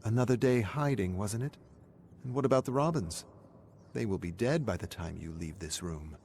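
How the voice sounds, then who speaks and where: A man's voice: on the low side, lively intonation, moderate pace, gravelly, a little mellow, a little hiss or hum. A man voicing a strained, bitter asylum nurse, a woman with a tense, scolding manner, in a horror story. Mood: bitter, brittle. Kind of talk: horror audiobook character voice.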